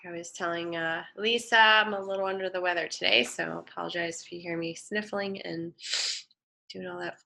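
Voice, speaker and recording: A woman's voice: lowish voice, fairly even intonation, a little fast, gravelly, sharp tone. A woman teaching an online design lecture while sick with a head cold, sounding nasal and sniffly, chatting casually with fillers and restarts. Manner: casual, chatty, with frequent fillers and self-corrections. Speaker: design instructor. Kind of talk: live online lecture with screen demo.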